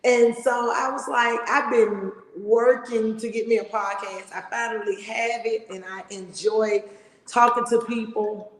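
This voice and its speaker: A middle-aged woman hosting a podcast, talking loudly and emphatically in a big voice. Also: a little high, steady tone, a little slow. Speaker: podcast host. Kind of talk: motivational casual talk. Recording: echoey room with long reverb.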